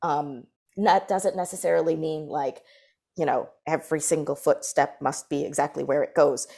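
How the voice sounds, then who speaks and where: A woman's voice: low-pitched, fairly even intonation, normal speed, creaky, darkish tone. A woman speaking as a panelist, explaining her points thoughtfully in a conversational way.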